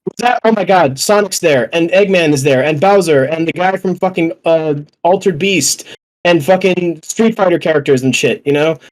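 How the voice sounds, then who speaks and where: Young man, fairly high pitch, casual podcast chat, opinionated, rambling, profane. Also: moderate pace, low-quality recording. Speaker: podcast panelist. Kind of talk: casual film discussion.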